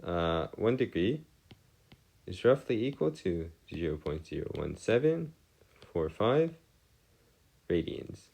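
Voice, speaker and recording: Male tutor, low voice, swooping intonation, formal wording, hesitant, step-by-step explaining. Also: sing-song, takes it slowly, gravelly, long pauses, darkish tone, poor audio. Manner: formal, wordy phrasing, hesitant, self-correcting. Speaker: math tutor. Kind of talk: informal lecture, explaining step by step.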